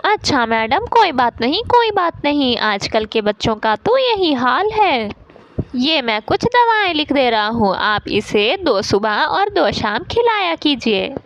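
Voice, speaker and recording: A woman's voice: high-pitched, big pitch swings, measured pace, a bit bright, highs cut off, heavily acted. A woman voicing a male pigeon doctor in a cartoon, patient and instructive, giving matter-of-fact advice. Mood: reassuring.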